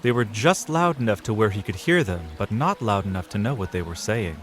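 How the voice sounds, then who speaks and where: A man's voice: fairly low pitch, expressive, a little fast, fairly bright tone, faint music behind. A man reading scripted narration for a fantasy audio drama, in a storyteller's style.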